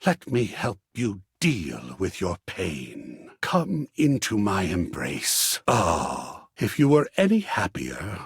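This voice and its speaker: Flamboyant husky artist, wildly swooping pitch. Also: male voice, no room sound, clean studio sound, exaggerated voice. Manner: theatrical, florid, effusive, swooping between hush and cries. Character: flamboyant, passionate artist, an art object come to life. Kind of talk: video game character acting.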